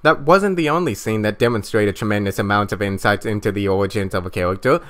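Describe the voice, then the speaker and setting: A man co-hosting a review with scripted-sounding conversational commentary and measured, wordy phrasing. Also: lowish voice, a bit bright. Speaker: co-host reviewer.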